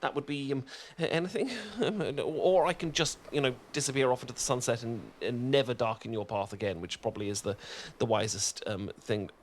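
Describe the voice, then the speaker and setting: A man's voice: medium pitch, a little fast, few pauses, slight background noise, close to an everyday voice. A man with a British accent playing an awkward, sweet, sunburned young man in an ASMR roleplay, stammering and self-deprecating. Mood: flustered. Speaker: ASMR performer.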